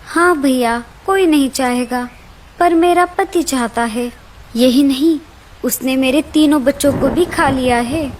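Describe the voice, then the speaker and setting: A woman plays a small mother bird from a kids' cartoon, with a very high voice. She is plaintive yet cunning and speaks with dramatic, pleading feeling. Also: measured pace, slight background noise. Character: clever, brave mother sparrow, plaintive but cunning. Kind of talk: children's cartoon story dialogue.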